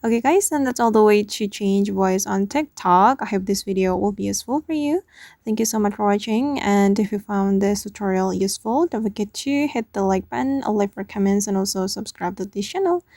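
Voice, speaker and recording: A woman hosting a casual step-by-step tutorial, narrating what she does on screen in a creaky voice. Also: medium pitch, animated, fairly quick, a little mellow, no echo, studio quality. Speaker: tutorial host.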